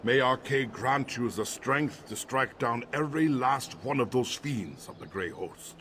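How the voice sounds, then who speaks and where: A man's voice: medium pitch, lively intonation, unhurried, a bit bright, slight background noise. An actor playing a devout townsman in a video game, solemn and grateful. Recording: distant and echoey in-game audio.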